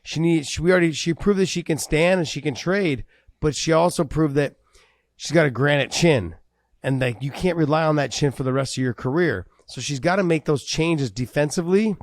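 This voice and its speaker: A man co-hosting a casual MMA podcast, talking in an animated way and repeating words as he builds his points. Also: a little high, fairly quick, no echo. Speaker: podcast co-host.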